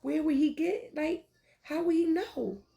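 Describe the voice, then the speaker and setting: A woman reading a picture book aloud like a teacher, with animated, deliberate delivery and questions for young listeners. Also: high-pitched, creaky. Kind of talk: expressive children's read-aloud with questions to listeners.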